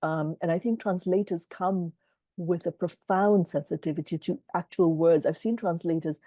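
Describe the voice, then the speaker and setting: Middle-aged woman publisher, low and mellow, thoughtful and earnest, dry video-call sound. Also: steady tone, moderate pace, dry recording. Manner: thoughtful, earnest, warmly reflective. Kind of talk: reflective interview answers.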